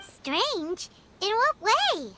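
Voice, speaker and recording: A woman voicing a tiny, excitable, naive fairy companion in a video game, with a squeaky childlike voice. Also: extremely slow, slight background noise, heavily acted. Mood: puzzled. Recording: loud music behind the voice. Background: loud music.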